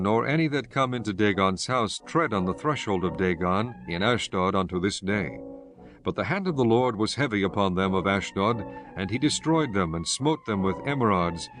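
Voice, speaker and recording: A man reading scripted scripture narration in a solemn, measured manner. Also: lowish voice, animated, music in the background.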